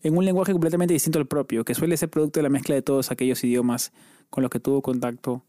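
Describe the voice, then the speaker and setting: A man's voice: medium pitch, fairly even intonation, fast, mellow, no echo, studio quality. A Peruvian man co-hosting a podcast, chatting casually and stumbling over long words while reading definitions aloud. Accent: Peruvian Spanish. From Peru. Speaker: podcast co-host. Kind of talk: casual chat.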